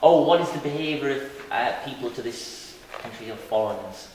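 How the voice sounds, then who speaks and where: A man's voice: mid-range voice, lively intonation, moderate pace, darkish tone, a little hiss or hum. A man, a teacher, answering an interview question in a friendly, easygoing way. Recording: echoey hall, mic far off.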